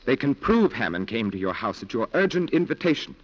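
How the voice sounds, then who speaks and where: A man's voice: mid-range voice, sing-song, brisk. An actor in a 1940s radio drama playing a principled, stern defense lawyer who is torn by his duty. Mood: stern, urgent. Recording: muffled 1940s radio broadcast recording.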